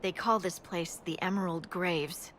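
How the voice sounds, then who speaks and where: Friendly scout, lowish voice. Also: female voice, steady tone, a bit bright, a little hiss or hum. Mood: friendly briefing. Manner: conversational, briskly informative. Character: friendly, chatty female army scout, dutiful and informal. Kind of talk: video game dialogue acting.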